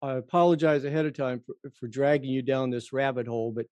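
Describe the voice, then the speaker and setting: A man's voice: medium pitch, steady tone, fast talker, darkish tone, no room sound. A man interviewing in a conversational way, musing earnestly and feeling his way through his questions.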